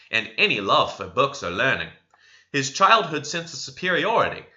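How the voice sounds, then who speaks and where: A man's voice: medium pitch, sharp tone, muffled recording. A man reads a classic novel aloud as an amateur narrator, expressively but with the occasional stumble. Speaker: amateur audiobook reader.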